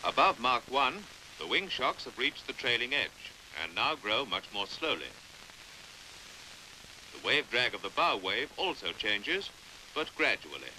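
A British man narrating a 1950s educational film in a precise, measured way, on a hissy old soundtrack. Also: mid-range voice, big pitch swings, bright, forceful tone, poor audio.

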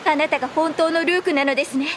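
A woman's voice: sits high, steady tone, fast, a bit bright, a little hiss or hum. A woman acting a devoted, wistful young noblewoman in an anime audio drama, formal and emotional. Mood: yearning, pleading.

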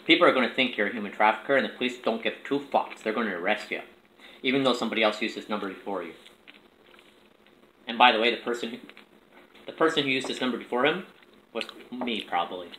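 A man's voice: mid-range voice, fast, pauses often, bright, forceful tone. A young man chatting casually and making prank calls, recorded at a table in a room. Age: young adult. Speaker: prank caller filming himself. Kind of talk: casual chat and prank calling. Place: small room indoors at a table. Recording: echoey room with background noise.

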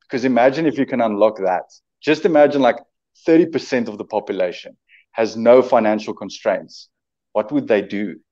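A man's voice: mid-range voice, moderate pace, warm tone. A South African man in his twenties whose first language is Afrikaans, talking eagerly as an entrepreneur guest on a video call from home, often repeating words as he builds his point. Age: 20s. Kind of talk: casual video-call interview. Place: home room indoors. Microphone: webcam on a video call. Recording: dull lo-fi video-call audio.

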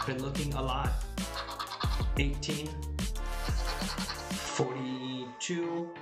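A man in his thirties live-streaming at a desk indoors, muttering low, then shouting whenever he wins, with his voice going hoarse. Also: fairly even intonation, extremely slow. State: losing his voice. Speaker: lottery scratch-off streamer. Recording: roomy echo, loud music behind.

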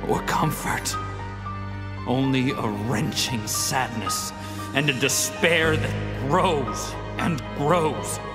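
An actor playing a grieving, desperate archaeologist narrating his journal in a video game, brooding and theatrical. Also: on the high side.

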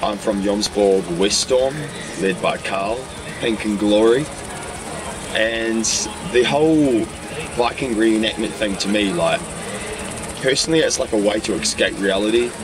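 A man's voice: lowish voice, a little slow, background noise. A young man from New Zealand, a reenactor, talking casually in a documentary interview at a night camp outdoors.

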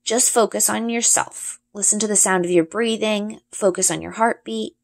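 A woman's voice: mid-range voice, steady tone, normal speed, creaky, pitched above the performer's own voice, close to an everyday voice. A woman voicing an easygoing, dry-humored young boy in a storybook, explaining things casually and a bit hesitantly. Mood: patient explaining.

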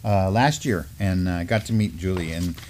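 A man's voice: sits low, moderate pace, a little mellow. Man, middle-aged, news co-host, casual delivery, loose informal phrasing, studio table. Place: small studio, seated at a table.

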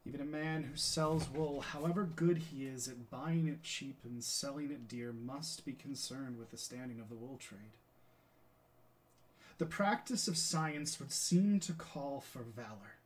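A man's voice: mid-range voice, measured pace, slight background noise, lightly acted. A man performing a stage monologue as a defeated, self-condemning aging scientist, in a theatrical, declamatory manner. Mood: grave, reflective. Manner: theatrical, declamatory, impassioned oratory.

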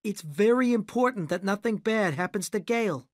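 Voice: animated, moderate pace, no room sound, studio quality, natural voice. A reader voicing a bossy adult male film director who barks orders in a raised, commanding voice. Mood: exasperated. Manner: loud, commanding, raised pitch. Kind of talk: audiobook character voice.

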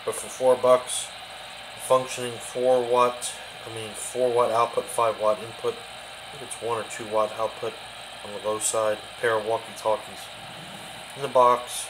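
A man giving a casual, off-the-cuff show-and-tell as a hobby video host, speaking in halting, trailing phrases in a kitchen behind a handheld camera. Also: mid-range voice, little pitch movement. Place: home kitchen, indoors. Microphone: handheld camera, behind the lens. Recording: clearly noisy home camera recording.

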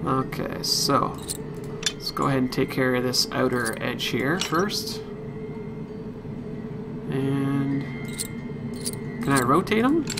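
A man's voice: medium pitch, takes it slowly, pauses often, very noisy. A man giving live let's play commentary, muttering short reactions to himself as he plays. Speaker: gaming streamer. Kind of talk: let's play live stream commentary, reading game text aloud. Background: game music.

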